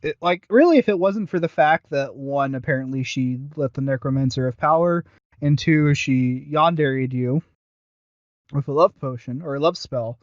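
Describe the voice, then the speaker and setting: A man co-hosting a podcast in casual chat, halting and self-deprecating, often losing his thread as he reviews. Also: medium pitch, no echo, dull audio. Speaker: podcast co-host. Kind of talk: casual chat, reviewing audio roleplay series.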